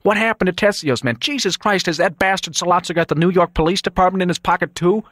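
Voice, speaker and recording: A man's voice: lowish voice, fairly quick, fairly bright tone, dry recording, studio quality, lightly acted. A man voicing an anxious yet resolute young son of a Mafia don, in an audiobook drama. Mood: alarmed.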